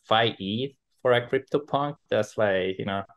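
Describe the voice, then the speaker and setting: A man's voice: fairly low pitch, moderate pace, fairly bright tone, lo-fi sound. A man from Venezuela, a podcast guest who rambles with lots of fillers and restarts. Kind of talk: casual podcast interview.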